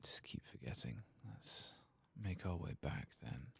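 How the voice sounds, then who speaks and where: A man giving soft-spoken ASMR commentary on a video game, in a breathy voice that often drops to a whisper. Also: sits low, animated, a little slow.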